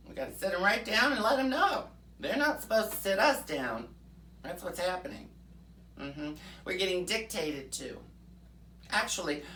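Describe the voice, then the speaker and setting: A woman's voice: medium pitch, pushed and bright, roomy sound, recorded from a distance. Woman playing a fiery folksy pundit lady. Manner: fiery, preachy, with drawn-out "mm-hmm" asides. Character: brash folksy right-wing woman pundit persona. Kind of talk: comic political rant in character.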